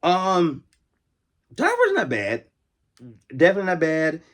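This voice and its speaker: A man's voice: high voice, huge pitch swings, measured pace, a bit bright. Rambling American man, casual collector vlog. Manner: rambling, conversational, repeats himself. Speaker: toy collector and video host.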